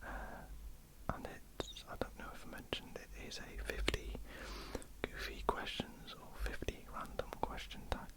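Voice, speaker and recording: A woman with a croaky voice, whispering ear to ear for an ASMR question-and-answer video. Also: unhurried, rough recording. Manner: soft unvoiced whisper with frequent ums. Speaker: ASMR artist. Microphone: ear-to-ear stereo mic.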